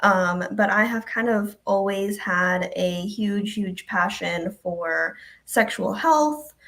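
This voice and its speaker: Chatty young woman with gravelly fry. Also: mid-range voice, takes it slowly, fairly bright tone. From Ecuador. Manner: chatty, many ums and likes. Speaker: guest, health department coordinator. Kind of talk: casual live-stream chat.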